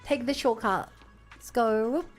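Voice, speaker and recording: A woman's voice: fairly high pitch, a little slow, faint music behind. A woman from New Zealand with a New Zealand accent, chatting casually and reacting as she livestreams a game.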